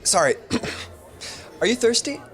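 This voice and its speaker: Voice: medium pitch, expressive, slow pace, fairly bright tone. An actor playing an awkward, eager young man in a sitcom podcast, earnest and naive. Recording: noisy party crowd behind.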